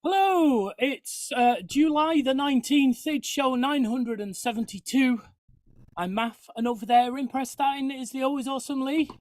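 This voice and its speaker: A man's voice: very high-pitched, moderate pace. A man hosting a casual chat show, with a dry, teasing way of talking. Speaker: host.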